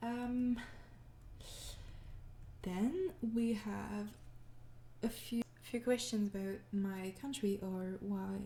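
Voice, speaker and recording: A woman's voice: mid-range voice, steady tone, very slow, roomy sound, slight background noise. A young woman with a French accent answering fan questions in a hesitant, apologetic way, tired and slipping into whispers. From France. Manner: hesitant, apologetic, frequent soft laughs, slips into whispers. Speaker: ASMR audio creator answering fan questions. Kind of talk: soft-spoken casual Q&A.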